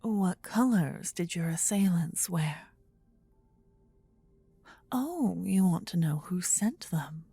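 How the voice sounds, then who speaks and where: A woman playing a possessive, tender demon queen in a fantasy ASMR roleplay, with a soft breathy whisper and an intimate, regal, coaxing manner. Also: on the low side, lively intonation, takes it slowly, pauses often, no room sound, full character voice. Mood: tender, protective.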